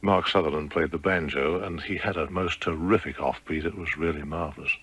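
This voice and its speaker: Deep-voiced English veteran radio man. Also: fairly even intonation, fairly quick, over music. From England. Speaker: veteran radio announcer and interviewee. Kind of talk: reminiscing in an interview.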